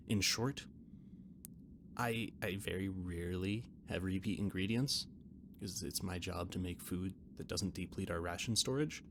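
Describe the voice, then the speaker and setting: Over clearly audible background noise, a shy catboy spaceship mechanic played by a man talks in a low voice with plenty of gravelly fry. He rambles and stammers in a conversational, gently defensive way, as in an intimate roleplay audio drama. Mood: rambling, explanatory. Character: shy, rambling male alien catboy ship mechanic and cook.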